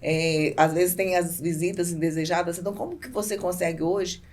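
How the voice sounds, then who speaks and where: A woman's voice: fairly low pitch, fairly quick, darkish tone. A Brazilian woman business owner answering casually as a podcast guest, often repeating and rephrasing herself.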